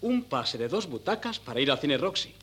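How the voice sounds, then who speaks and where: An actor playing a polite, bookish, innocent young gentleman in a 1970s Spanish radio comedy, formal and courteous. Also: fairly high pitch, big pitch swings, moderate pace, slightly rough, exaggerated voice. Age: young adult. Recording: noisy, humming 1970s cassette.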